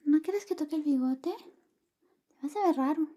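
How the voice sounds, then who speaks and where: A woman speaking softly and often whispering in an ASMR roleplay, playing an affectionate, playful best friend. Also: sits high, moderate pace. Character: affectionate, clumsy female best friend giving a shave. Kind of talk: ASMR personal attention roleplay.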